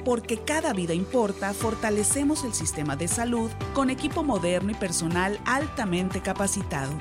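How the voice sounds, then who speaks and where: A woman's voice: medium pitch, animated, normal speed, over music. A woman reading a scripted government ad, earnest and formal. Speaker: ad announcer.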